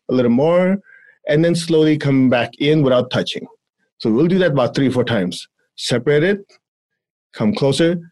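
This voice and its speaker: A man with a Chinese accent teaching energy exercises in a podcast chat, playful and quick to laugh between calm instructions. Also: mid-range voice. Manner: playful, laughs often, breaks into giggles.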